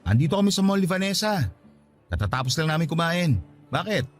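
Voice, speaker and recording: A man's voice: on the high side, very animated, a little fast, soft background music. A man in a radio drama playing an upbeat boyfriend in his mid-thirties, breezy and a bit defensive. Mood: cheerful. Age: 30s.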